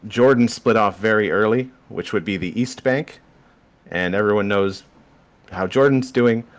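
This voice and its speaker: A young Canadian man from Southern Ontario, a podcast guest laying out history in a measured, explanatory way. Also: fairly low pitch. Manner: explanatory, measured, walks through history step by step. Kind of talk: casual discussion.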